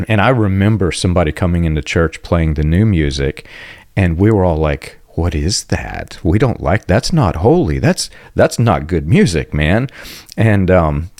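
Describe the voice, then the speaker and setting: A very low-pitched man hosts a podcast in a casual, reflective tone, pausing to clear his throat now and then. The recording is dry and close, with no room sound around him. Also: a little mellow, no echo. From United States. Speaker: podcast host.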